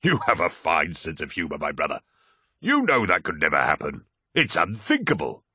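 A jovial, teasing brother-in-arms, played by a male story reader. The voice is animated and a little rough, full of mocking banter and hearty confidence. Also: sits low, moderate pace, dry recording, studio quality, exaggerated voice. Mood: amused.

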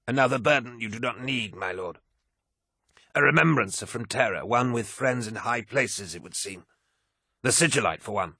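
A man voicing a devoted, frail male equerry in an audiobook, raspy, reverent and formally deferential. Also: medium pitch, animated, normal speed, bright, forceful tone, no echo, studio quality, voice pushed up, exaggerated voice. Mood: cautious. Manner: deferential, fervent, formal address.

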